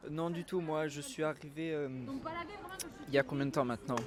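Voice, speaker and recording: A young man from the Toulouse suburbs, chatting in a relaxed, informal way with some slang. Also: fairly high pitch, brisk. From Toulouse suburbs, France. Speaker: interviewee and show host. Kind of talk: casual chat.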